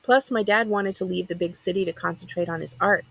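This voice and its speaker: A woman librarian reading a first-person children's novel aloud as expressive narration. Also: on the low side, brisk, creaky, slight background noise.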